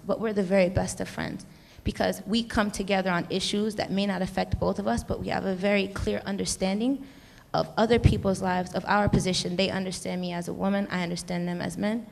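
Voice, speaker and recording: Earnest gravelly woman in a big hall. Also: medium pitch, darkish tone. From United States. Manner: earnest, rambling with restarts. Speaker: panelist, music educator. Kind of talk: reflective panel discussion. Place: convention hall stage. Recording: long reverb of a large hall.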